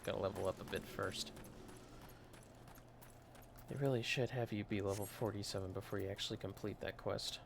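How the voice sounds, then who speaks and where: A man's voice: on the low side, steady tone, moderate pace, gravelly, long pauses, background noise. A man giving casual livestream commentary on a game, muttering short remarks to himself. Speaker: livestreamer playing an online fantasy game. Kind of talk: casual gaming stream commentary. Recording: loud game music behind voice. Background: game music.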